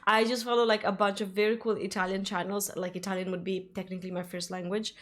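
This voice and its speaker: A woman's voice: medium pitch, level tone, fast talker, fairly bright tone. A woman whose first language is Ukrainian, chatting casually and rambling with many fillers as she hosts a reaction video.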